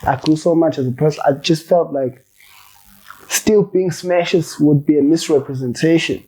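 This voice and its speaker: Relaxed slangy rapper man, mellow voice. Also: on the high side, moderate pace, husky, rough recording. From South Africa. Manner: loose, slangy, swears freely, laughs while telling stories. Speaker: rapper, interview guest. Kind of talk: casual interview chat.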